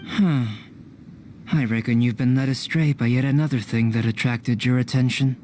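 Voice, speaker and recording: A man voicing a calm, analytical alchemist mentor in a video game, measured and formal, with a caring manner. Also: medium pitch, slight background noise, natural voice. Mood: composed, concerned. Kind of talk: animated game dubbing.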